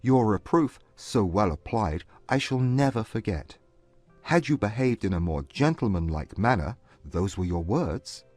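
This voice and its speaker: Period romance audiobook: male actor as a lovestruck, proud gentleman, lowish voice, intense measured phrasing, music faintly behind. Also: animated. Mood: remorseful. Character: earnest, proud gentleman suitor, contrite and lovestruck. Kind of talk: dramatized audiobook acting of a classic romance.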